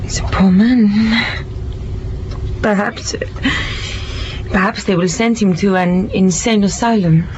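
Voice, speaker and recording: A woman's voice: mid-range voice, steady tone, slow pace, pauses often, darkish tone, lightly acted. A woman playing a curious, genteel lady passenger in an audio drama, inquisitive and theatrical. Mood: anxious, curious. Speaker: actor. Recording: dull sound over noisy ambience.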